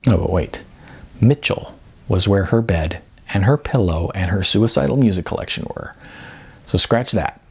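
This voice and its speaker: A man's voice: low voice, animated, moderate pace, creaky, darkish tone, husky. A man narrating comic fiction expressively, with a wry, emphatic, sardonic delivery. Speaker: audiobook narrator.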